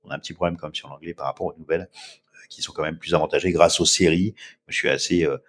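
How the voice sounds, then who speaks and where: Deep-voiced man in his fifties. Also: fairly even intonation, quick pace, slightly rough. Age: 50s. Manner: rambling, many filler sounds. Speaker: startup founder. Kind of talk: casual interview answers.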